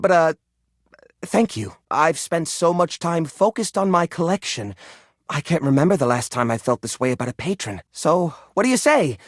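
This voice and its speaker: Casting: a fussy, proud hoarder-curator for a game; nervous stammer, theatrical, very lively pitch swings, dry close sound. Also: male voice, dry recording. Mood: bashful, tender. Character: flustered, defensive hoarder junk drawer, proud self-styled curator. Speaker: video game voice actor. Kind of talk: animated video game character acting.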